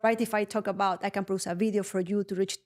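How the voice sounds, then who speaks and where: A woman whose first language is Spanish, a guest on a podcast, telling her story in an animated way, laughing often and checking in with "right?". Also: medium pitch, fast talker. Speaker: guest, production company CEO. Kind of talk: casual podcast interview.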